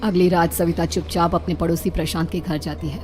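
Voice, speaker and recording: A woman's voice: fairly low pitch, little pitch movement, a little fast, a little mellow, soft background music. A woman narrator reading a scripted story in a dramatic storytelling style.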